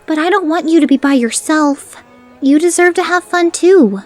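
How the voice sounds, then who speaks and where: A woman's voice: very high-pitched, normal speed. A woman voicing a sweet, considerate young pony princess in a scripted audio drama, earnest and solicitous. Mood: concerned, sweet. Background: music.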